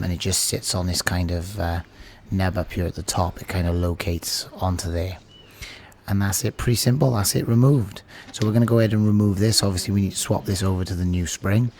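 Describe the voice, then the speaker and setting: A man's voice: sits low, a little hiss or hum. A British man in his thirties who is losing his voice, chatting casually as he walks through a DIY car repair tutorial. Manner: relaxed, chatty, filler-heavy explaining. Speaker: channel host, car mechanic. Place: small home workshop office indoors.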